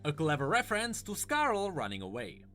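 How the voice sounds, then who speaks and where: A man's voice: fairly high pitch, huge pitch swings, fairly quick, a bit bright, faint music behind. A man giving scripted explanatory commentary in the style of a video narrator. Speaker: lore explainer.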